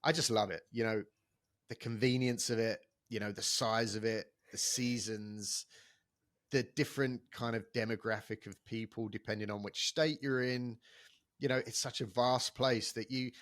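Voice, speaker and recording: A man's voice: medium pitch, steady tone, moderate pace, a bit bright, no room sound, studio quality. A man hosting a podcast, chatty and enthusiastic, talking casually with many restarts. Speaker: podcast host.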